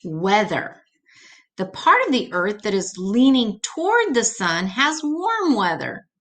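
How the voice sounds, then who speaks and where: Slow-paced older woman reading to children. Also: mid-range voice, sing-song, fairly bright tone. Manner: simple, patient explaining. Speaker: storyteller reading a children's educational book. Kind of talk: read-aloud to children with explanatory asides.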